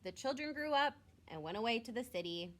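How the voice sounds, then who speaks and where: Lady teacher reading a picture book to little kids, super expressive, pitch goes all over, little asides here and there. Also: a little fast. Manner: expressive, simple explanations aimed at young children. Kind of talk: storybook read-aloud with short asides.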